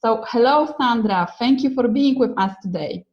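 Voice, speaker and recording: A woman's voice: a little high, normal speed, lo-fi sound. A woman hosting a podcast, introducing her guest and asking questions in a friendly, encouraging way. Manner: friendly, encouraging, short affirmations. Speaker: podcast host. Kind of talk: podcast interview.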